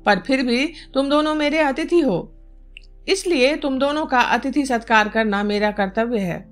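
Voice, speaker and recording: A woman voicing a stern, composed young male yogi in a storybook reading, with grave, deliberate, formal pronouncements. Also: sits high, natural voice. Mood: stern, reserved. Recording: music bed behind the voice.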